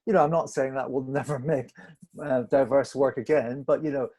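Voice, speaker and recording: A man reflecting as a film director in a panel discussion, halting and restarting as he searches for words. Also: medium pitch, steady tone, darkish tone.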